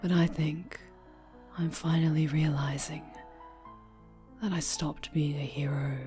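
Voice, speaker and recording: A woman playing an obsessive, possessive dark-angel superheroine turned villain in an intimate ASMR roleplay, half-whispering with menacing tenderness. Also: deep voice, steady tone, takes it slowly, soft background music. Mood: dark, seductive.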